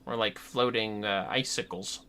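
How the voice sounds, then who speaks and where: A man's voice: on the low side, unhurried, sharp tone, clean tone. A man chatting casually on a gaming livestream, sweary and playfully theatrical, with music behind him. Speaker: livestreaming gamer.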